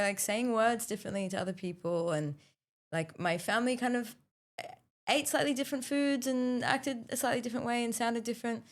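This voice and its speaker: A young woman, co-hosting a podcast and talking thoughtfully with many pauses and restarts. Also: fairly low pitch. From South Africa, raised in Australia. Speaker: podcast co-host. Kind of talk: casual podcast conversation.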